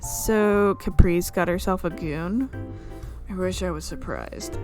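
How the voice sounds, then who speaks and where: A woman's voice: fairly low pitch, slow, darkish tone, faint music behind. A woman voicing a sardonic female art student from a visual novel in a cold, dry, unimpressed manner.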